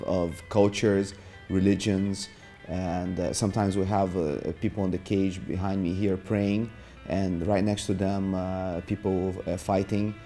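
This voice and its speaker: A deep-voiced man in his forties from Brazil speaks in a documentary interview, his tone mellow and fairly even. He halts often with filler sounds as he talks in a martial arts gym, with soft music underneath. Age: 40s. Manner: halting, many filler sounds. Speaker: jiu-jitsu instructor.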